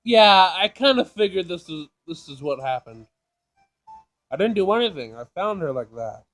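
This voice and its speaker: A man doing casual playthrough commentary, reading game text aloud, jokey and thinking out loud as he repeats himself. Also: high voice, expressive, moderate pace, soft background music, poor audio. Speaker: gaming video host.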